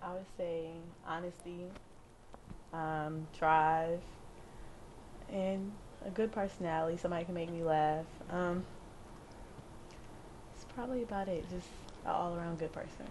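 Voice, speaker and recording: Female, about twenty, lower-pitched informal panel guest with faint room noise. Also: fairly even intonation, measured pace, long pauses, a little mellow. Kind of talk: casual chat.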